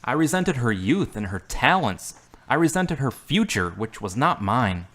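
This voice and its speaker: Man acting a remorseful, self-deprecating grieving man, amateur drama, mid pitch. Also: sing-song, a little slow, a bit bright.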